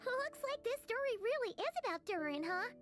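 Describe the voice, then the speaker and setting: A woman voicing a small, childlike female fairy companion in a video game, squeaky and exclamatory, inquisitive and chirpy. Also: big pitch swings, brisk, over music. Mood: curious.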